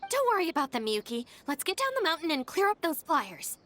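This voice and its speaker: A woman's voice: high voice, sing-song, brisk, fairly bright tone. A woman voicing a self-assured, defiant young female gang member in a video game, firm and matter-of-fact. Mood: composed. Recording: background music under the dialogue.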